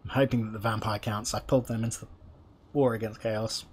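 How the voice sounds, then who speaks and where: Hoarse man musing over a game. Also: fairly low pitch, fairly even intonation, brisk, darkish tone, slight background noise. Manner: musing aloud, hesitant with many ums. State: hoarse from being unwell. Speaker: gameplay commentator. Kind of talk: casual let's-play commentary. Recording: music playing behind the voice.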